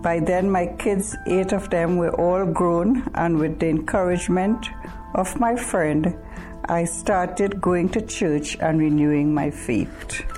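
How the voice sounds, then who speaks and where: A woman tells her story of faith at a slow, unhurried pace. Her voice is mellow and a little low, and soft music plays underneath. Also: low-quality recording. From Trinidad. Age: 60s. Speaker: church member giving testimony. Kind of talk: personal faith testimony.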